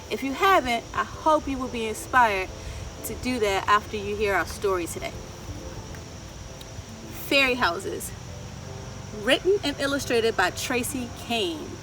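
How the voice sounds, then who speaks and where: High-voiced woman storyteller, outdoors off mic. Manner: expressive, asks listeners questions, deliberate phrasing. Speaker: children's storyteller. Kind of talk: reading a picture book aloud to children. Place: outdoors in a wooded garden. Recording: outdoor, distant mic, ambient insect noise. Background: insects and outdoor ambience.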